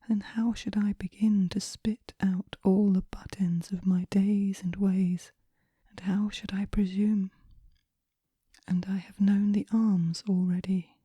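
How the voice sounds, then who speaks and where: A woman recites poetry in a hushed, breathy whisper meant for relaxation. Her delivery is gentle and unhurried, with very little rise and fall. The tone is extremely soft and dark, captured close and dry. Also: mid-range voice, no room sound. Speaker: poetry reader.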